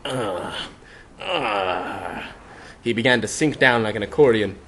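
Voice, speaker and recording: A man's voice: mid-range voice, fast, a bit bright, a little hiss or hum. A man narrating fan fiction as a mock-dramatic reading. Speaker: narrator, reader.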